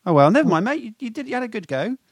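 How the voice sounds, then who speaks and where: A man's voice: extremely high pitch, sing-song, rapid-fire, dry recording. A British man co-hosting a podcast, acting as quizmaster in casual banter with a teasing, sweary manner. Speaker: podcast co-host.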